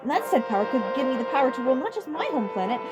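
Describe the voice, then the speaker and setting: Villain for storybook reading: gruff, gloating monster tyrant, played by a woman, emphatic and pompous, loud music underneath. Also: sits high, sing-song, racing, pitched above the performer's own voice. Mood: pompous, menacing. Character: gruff, boastful male monster king, villainous turtle-dragon tyrant.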